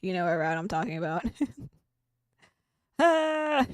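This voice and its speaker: Woman streamer, excitable reactions, swears and laughs. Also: mid-range voice, drawn-out words, dry recording. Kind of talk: gaming stream commentary.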